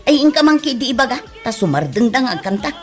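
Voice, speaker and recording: An actress plays a cranky mother in a comedy drama. Her high voice swoops up and down as she nags and grumbles in exasperation, over faint music. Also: big pitch swings, quick pace. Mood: irritated. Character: grumpy, complaining mother irritated by noisy neighbours. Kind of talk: Ilocano comedy drama acting.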